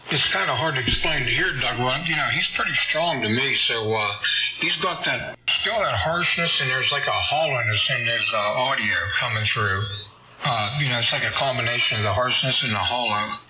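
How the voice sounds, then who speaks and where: A man's voice: medium pitch, fairly quick. A man rambling as an amateur radio operator, filling his talk with "you know" and "uh". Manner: rambling, lots of you-knows. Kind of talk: casual on-air radio chat. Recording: static-laden radio signal.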